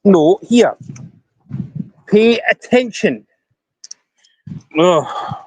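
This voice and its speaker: A man's voice: high-pitched, lively intonation, drawn-out words, dry recording, lo-fi sound. A Scottish man chatting to viewers on a casual live stream outdoors by a river, rambling as he talks into his phone. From Scotland. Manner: rambling, chatty, talking to viewers while walking.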